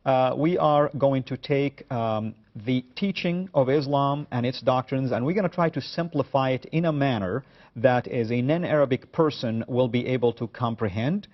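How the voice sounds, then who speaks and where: A man's voice: medium pitch, normal speed, lo-fi sound. A man hosting a studio talk show, speaking with many hesitations and filler sounds as he explains and asks questions. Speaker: host.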